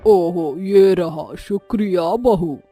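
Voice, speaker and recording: A man's voice: sits high, takes it slowly, mellow, soft background music. A man voicing a forgetful, demanding elderly mother-in-law in a cartoon.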